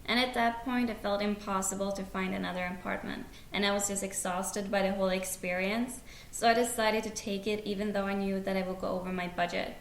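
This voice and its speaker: A woman's voice: medium pitch, fairly even intonation, brisk, hardly any pauses. Young woman, podcast co-host, earnest, plain-spoken, echoey room, faint background noise. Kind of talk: scripted podcast chat.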